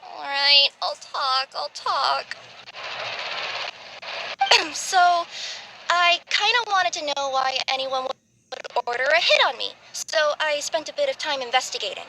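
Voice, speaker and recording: A woman's voice: high-pitched, unhurried, gravelly, background noise. A woman voicing a flustered, eager young cat-spirit courier in a video game, in a youthful, girlish and hesitant manner. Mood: reluctant.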